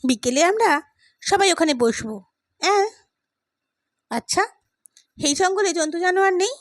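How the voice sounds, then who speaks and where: Woman acting as teenage girl, high voice, teasing, quick-tempered, audio story, dry sound. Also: animated, no room sound. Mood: eager, curious. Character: restless, teasing, quick-tempered seventeen-year-old girl.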